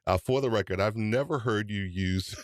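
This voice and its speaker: Man with a New York accent, lower pitch, energetic live-stream host, dry close sound. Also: moderate pace, no room sound. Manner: energetic, greets chat viewers by name. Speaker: podcast livestream host. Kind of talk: casual live-stream chat.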